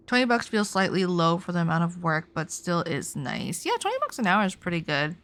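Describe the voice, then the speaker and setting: A woman's voice: lowish voice, sing-song, a little fast, fairly bright tone. A young woman livestreaming a game, chatting casually and reacting in an informal, giggly, sweary way.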